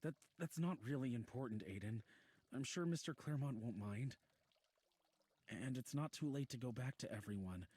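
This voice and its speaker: A man voicing a gentle, concerned man in a visual novel game, with a husky voice and an earnest, hesitant manner. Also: medium pitch, expressive, normal speed. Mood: worried, tender.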